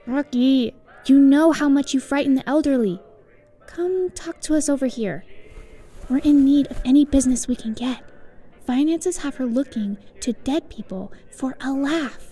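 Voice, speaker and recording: A woman's voice: sits high, moderate pace, dark tone, close to an everyday voice. A woman voicing a perky, bossy young female student in a cartoon, chatty and teasing. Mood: matter-of-fact. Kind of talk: animated comic dub acting.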